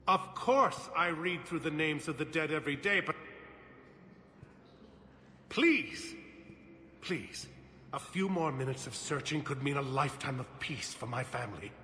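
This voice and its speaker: A forceful, slightly high-voiced ambassador, a worried father pleading in formal, grave game dialogue. The sound is roomy and reverberant. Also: unhurried, pauses often. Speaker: voice actor in a video game. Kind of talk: dramatic video game dialogue. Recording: roomy reverberant sound, faint background ambience.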